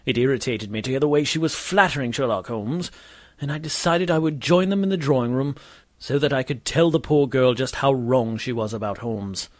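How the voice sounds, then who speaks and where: A man performs a vain, lovelorn Victorian doctor in an audio drama. He tells his tale pompously and grumbles at his companions. The voice sits at a middling pitch in a very dry recording. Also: a little fast, dry recording, lightly acted. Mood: wry, self-important. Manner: pompous, wordy storytelling. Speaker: narrator and lead character. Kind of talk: scripted first-person audio drama narration and dialogue.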